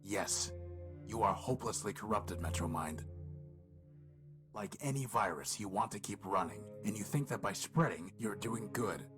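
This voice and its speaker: A man acts out a principled, weary robot in a dramatic video game scene. His voice is very deep and grave, with measured, defiant delivery. Loud music plays under him. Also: close to an everyday voice.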